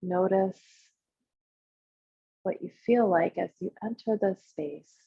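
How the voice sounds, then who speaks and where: Woman, meditation guide, husky breathy voice, very slow phrasing with pauses, slightly dull recording. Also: mid-range voice. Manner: unhurried, instructional, pausing between short phrases. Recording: muffled, roomy, echoey sound.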